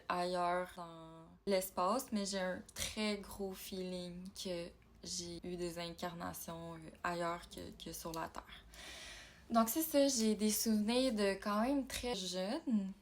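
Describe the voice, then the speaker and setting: A woman from Ontario, talking in a casual personal vlog, reflective and halting as she thinks aloud. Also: mid-range voice. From Ontario, Canada. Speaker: YouTuber.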